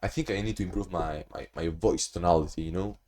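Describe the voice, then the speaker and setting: Low-voiced young man, flat rambling diary. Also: quick pace. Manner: monotone, rambling with restarts. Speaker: vlogger. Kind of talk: casual video diary.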